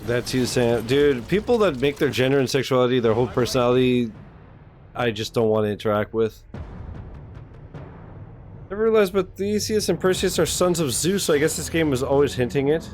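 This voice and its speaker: A man's voice: mid-range voice, expressive, fairly quick, a little mellow. A man chatting casually as a streamer reacting to a game, blunt, slangy and freely swearing. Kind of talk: casual live-stream chat. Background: game music.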